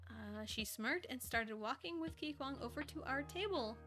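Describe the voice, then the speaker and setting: A woman streamer reading a story aloud, expressive and playful, with chatty asides. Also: high-pitched, normal speed.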